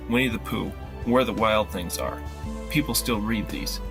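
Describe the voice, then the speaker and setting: An illustrator, a man, reading an earnest, measured scripted promo for his own work. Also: lowish voice. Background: background music.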